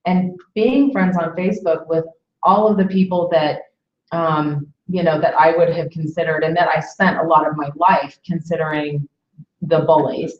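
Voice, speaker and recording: A woman's voice: sits low, fairly even intonation, normal speed, a little hiss or hum. A woman in her early forties chatting casually and reflectively as a webinar host, feeling her way through phrases and checking for agreement. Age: early 40s. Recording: distant, echoey, muffled webinar audio.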